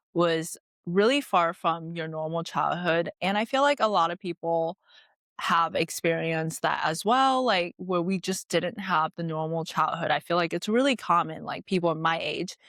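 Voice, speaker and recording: A woman's voice: lowish voice, fairly bright tone, no echo. A woman in her late twenties from Taiwan, hosting a podcast and telling her life story in a chatty, reflective way full of "like" fillers. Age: late 20s. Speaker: podcast host. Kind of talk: casual personal storytelling.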